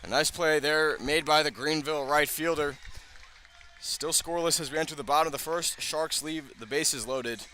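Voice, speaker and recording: A man calling live baseball play-by-play for radio, describing the action in measured phrases with dramatic emphasis. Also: fairly high pitch, pushed and bright, a little hiss or hum. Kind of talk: live radio sports commentary. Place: outdoor ballpark broadcast.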